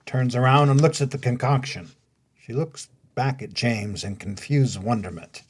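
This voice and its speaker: Husky male stage-direction narrator. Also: mid-range voice, moderate pace. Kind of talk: scripted narration for an audio play.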